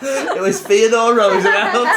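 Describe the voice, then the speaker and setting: Laughing Scouse podcast host, echoey. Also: male voice, very high-pitched, very noisy. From Liverpool, England. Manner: laughs a lot, swears freely. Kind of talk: casual chat. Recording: echoey room.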